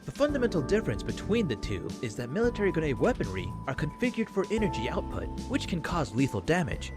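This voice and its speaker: Man playing a formal game professor, composed and explanatory, slightly high with big pitch swings, clear music behind. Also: huge pitch swings, quick pace. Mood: formal, measured. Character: male professor, composed, formal military lecturer. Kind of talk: acted visual novel dialogue. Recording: music under the voice.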